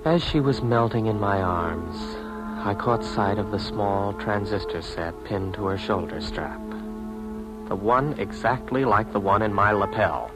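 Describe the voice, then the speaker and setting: A man's voice: fairly low pitch, measured pace, poor audio. An actor with an American accent playing a lonely city man in a 1950s radio drama, narrating his own awkward romance. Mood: wistful, reflective. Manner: hesitant and awkward in dialogue. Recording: vintage 1950s radio broadcast, music behind.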